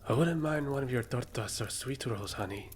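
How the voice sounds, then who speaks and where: A man's voice: medium pitch, moderate pace, a little hiss or hum, lightly acted. A man playing a flirty, sweet-toothed detective in an ASMR roleplay, teasing and dropping Spanish words into his English. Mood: playful, flirty.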